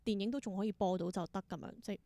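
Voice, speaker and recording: A woman's voice: medium pitch, rapid-fire, warm tone, dry recording. A woman with a Hong Kong Cantonese accent in a casual interview, thinking aloud reflectively and mixing in some English words. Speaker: interview guest, theatre performer and creator.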